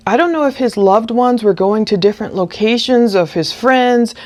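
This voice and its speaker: A woman's voice: on the high side, animated, normal speed, darkish tone. Woman casually narrating true-crime commentary. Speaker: true-crime show host. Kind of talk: casual commentary narration, reading posts aloud.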